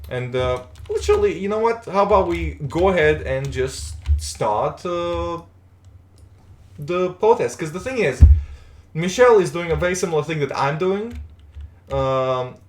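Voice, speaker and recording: Rambling young streamer. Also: male voice, a little high, animated, a little slow, a little hiss or hum. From Latvia. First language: Russian. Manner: rambling, chatty, many restarts. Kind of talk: casual live-stream chat while gaming. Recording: roomy echo.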